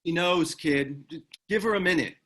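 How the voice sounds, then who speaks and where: An actor at a table read playing a gruff, weary ex-military man who talks tersely and gives orders. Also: fairly high pitch, moderate pace, fairly bright tone. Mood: impatient. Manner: terse, commanding. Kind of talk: screenplay table read acting.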